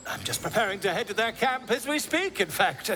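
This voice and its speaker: An actor playing a pompous, excitable middle-aged scholar in a video game, with florid, theatrical delivery. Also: male voice, sits high, fairly quick, slight background noise, full character voice. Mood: eager, dramatic. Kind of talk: video game character acting.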